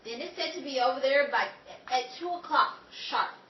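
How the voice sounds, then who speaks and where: A woman's voice: high-pitched, normal speed, lo-fi sound, natural voice. A woman playing a naive, defensive young sidekick in a 1930s-style radio comedy, earnest and protesting. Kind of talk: old-time radio comedy acting. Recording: roomy, distant, noisy amateur recording.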